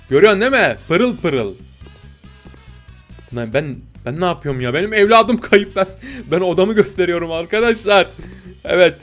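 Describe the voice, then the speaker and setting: A man doing playful, excitable gameplay commentary, joking with viewers in slangy exclamations. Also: on the high side. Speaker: gaming video host. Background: game music.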